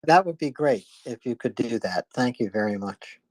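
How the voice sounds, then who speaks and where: A man hosting a live online discussion, speaking casually in a halting, hesitant way. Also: medium pitch, lively intonation, no echo, poor audio. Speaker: host of a live audio discussion space. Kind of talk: casual announcing, chatting with guests. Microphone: live online audio chat.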